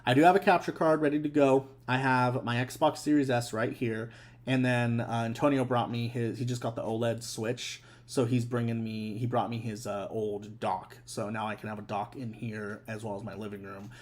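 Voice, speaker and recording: A man's voice: mid-range voice, level tone, moderate pace. Rambling young man hosting a stream. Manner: rambling, many fillers and restarts. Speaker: streamer and host. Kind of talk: casual live-stream chat.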